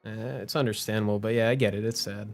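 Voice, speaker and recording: A man's voice: fairly low pitch, fairly even intonation, quick pace, darkish tone. A man streaming a video game, reacting and chatting casually in an offhand, slangy way with some swearing. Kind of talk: casual livestream reactions and chat. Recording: game music behind the voice. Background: background game music.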